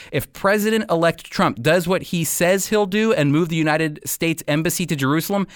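Emphatic male commentator with lively, swooping intonation. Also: a little high, no room sound, clean studio sound. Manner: emphatic, persuasive, direct address to listeners. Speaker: host and Bible teacher giving commentary. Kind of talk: scripted radio commentary.

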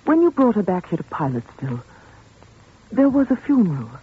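A woman playing an earnest, tender and troubled woman with a husky voice in a 1940s radio suspense drama. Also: mid-range voice, sing-song, a little fast. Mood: troubled, gentle. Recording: tinny, band-limited 1940s radio recording.

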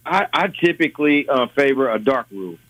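A man speaking as a guest in a casual radio interview, relaxed and halting with frequent ums. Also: mid-range voice, dry recording, low-quality recording.

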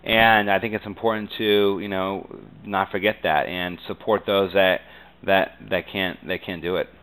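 An American man giving conversational interview answers as a business guest, earnest and halting, often saying "you know.". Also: low-pitched, little pitch movement, fairly bright tone, smooth, low-quality recording.

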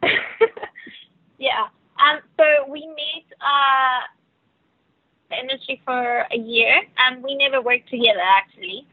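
High-voiced woman with South African-tinged English. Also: slow pace, long pauses, dry recording. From Venezuela. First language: Spanish. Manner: hesitant, many fillers and restarts. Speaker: yacht crew member, guest. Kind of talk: casual interview answers. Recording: tinny phone line, dry.

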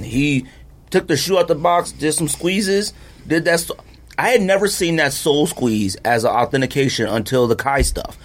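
A man hosting a podcast in casual chat, animated and often laughing, his voice leaping high when he is incredulous. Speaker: podcast host.

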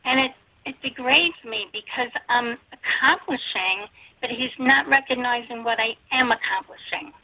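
A woman hosting an interview, talking in an earnest, personal and slightly halting way. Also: a little high, no echo. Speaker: interview host. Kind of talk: casual interview chat. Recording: dry, dull lo-fi recording.

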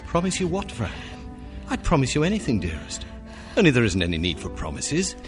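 A wildly expressive middle-pitched voice, a young clerk. He is earnest and emotional in dramatic radio-play style, with music underneath. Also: a little fast. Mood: desperate, denying. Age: young adult. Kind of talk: family radio drama acting.

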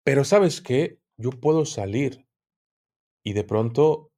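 A man's voice: medium pitch, animated, takes it slowly, gravelly, warm tone. A man, a podcast guest talking casually and reflectively, explaining with everyday examples and laughing often. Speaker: podcast guest, occultism channel host. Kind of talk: casual interview chat.

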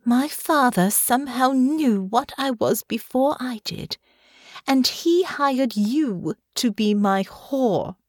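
A woman's voice: sits high, animated, slow pace, dry recording, studio quality, pitched above the performer's own voice, exaggerated voice. A woman voicing a proud, wounded young noblewoman in an audiobook, imperious and angry, tightly controlled or sharply snapping. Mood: controlled anger. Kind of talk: audiobook character acting.

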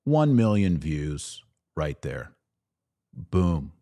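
A man's voice: low-pitched, very animated, drawn-out words, dark tone, dry recording. An American man hosting a live-streamed podcast, giving conversational opinion commentary on the news with short, emphatic phrasing. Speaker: podcast host. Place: studio.

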